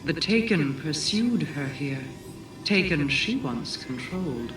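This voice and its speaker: A woman's voice: low voice, lively intonation, slow pace. A woman playing an imperious, brooding queen delivering solemn warnings in a dramatic game monologue. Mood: solemn, ominous. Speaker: video game character. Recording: echoey, distant voice with music.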